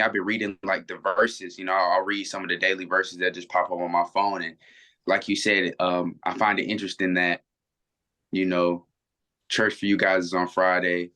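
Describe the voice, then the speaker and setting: Young man, twenties, very low voice, reflective and rambling, video-call guest. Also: sharp tone. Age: 20s. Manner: earnest, rambling with many restarts. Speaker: interview guest. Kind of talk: reflective casual conversation.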